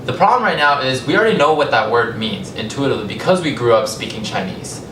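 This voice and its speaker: Young male presenter, American accent, conversational storytelling with measured pauses; roomy, noisy recording. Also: expressive. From California, United States. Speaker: language company founder giving a talk. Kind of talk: public talk, personal storytelling. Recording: echoey, distant mic, rumbling noisy room.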